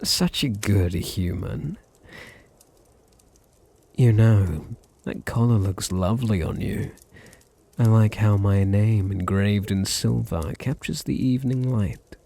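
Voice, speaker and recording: A dominant aristocratic vampire played by a man speaks in a low British voice, unhurried and husky. He purrs with possessive condescension, teasing his listener softly in an intimate ASMR roleplay. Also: exaggerated voice. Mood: teasing, tender. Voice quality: deep, husky, gravelly.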